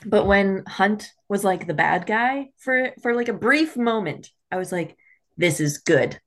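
A woman co-hosting a book podcast, chatting casually and wryly about her opinions, with lots of "like". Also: medium pitch. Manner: informal, wry, frequent "like".

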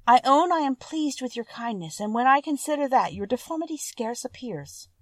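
A woman's voice: high voice, expressive, normal speed, dry recording, voice pushed up. A woman voicing a kind, timid young woman in a fairy tale, with an earnest, polite and formal manner. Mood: warm, grateful. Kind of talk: storybook character voice.

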